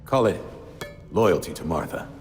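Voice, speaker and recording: An actor playing a menacing, cultured older leader of a secret society in a game cutscene, measured, condescending and coolly threatening. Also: male voice, low-pitched, animated, faint music behind. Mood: smug, composed. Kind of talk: dramatic video game cutscene acting. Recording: echoey hall with long reverb.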